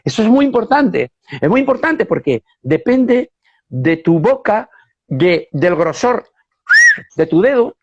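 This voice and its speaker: A man's voice: high-pitched, sing-song, takes it slowly, darkish tone. A man hosting a casual interview who also teaches, speaking with enthusiastic, emphatic repetition. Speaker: host and whistling teacher. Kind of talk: casual interview over a live video stream. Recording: lo-fi online video call audio.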